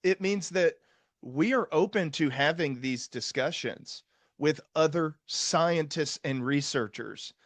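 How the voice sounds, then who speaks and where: A man speaking as a podcast host in an earnest, conversational way, deliberate and emphatic. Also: fairly high pitch, no echo.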